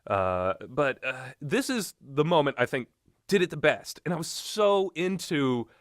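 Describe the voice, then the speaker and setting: A man's voice: fairly high pitch, big pitch swings, measured pace, dry recording, studio quality. A man giving casual, unscripted game commentary, opinionated and mildly profane, often backtracking on himself as he goes. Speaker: video game reviewer.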